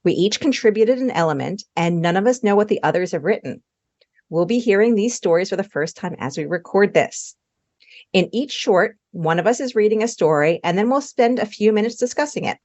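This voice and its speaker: A woman's voice: mid-range voice, lively intonation, a little fast, darkish tone, no echo, muffled recording. A woman hosting a podcast, introducing a segment in a casual, explanatory way. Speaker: podcast co-host.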